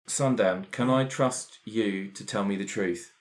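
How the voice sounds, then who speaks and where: A man's voice: on the low side, unhurried. A man playing a tabletop role-playing game, talking in casual banter.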